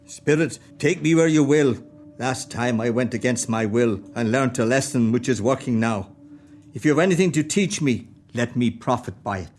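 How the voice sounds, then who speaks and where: A man's voice: mid-range voice, lively intonation, moderate pace, a little mellow, soft background music, lightly acted. A man from an amateur radio play voicing a frightened, humbled old miser. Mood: pleading. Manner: pleading, shaken.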